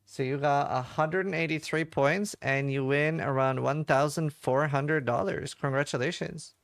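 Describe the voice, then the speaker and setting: A man's voice: on the high side, moderate pace, vocal fry, a bit bright, no room sound, studio quality. A man hosting a casual post-show interview, asking upbeat questions with many fillers. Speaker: show host.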